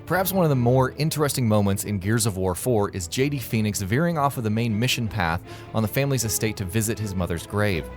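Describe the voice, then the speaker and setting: Male narrator for a scripted gaming countdown, middle register, light music bed. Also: medium pitch. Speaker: narrator of a video game list.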